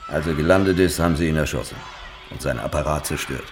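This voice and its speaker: An actor playing a grave local man in a radio drama, speaking tersely and matter-of-factly about dark events. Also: rumbling low, fast, crowd noise, background noise.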